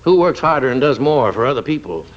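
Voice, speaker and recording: A man's voice: mid-range voice, very animated, fast talker, lightly acted. An American actor playing a fussy, pompous but kindly middle-aged doctor and father in an old radio comedy. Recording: muffled old-time radio recording.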